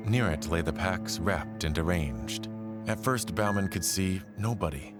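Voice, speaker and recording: A man gives a measured, solemn, literary narration of a scripted horror tale. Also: low voice. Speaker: storyteller narrating an audio play. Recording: music behind the voice. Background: background music.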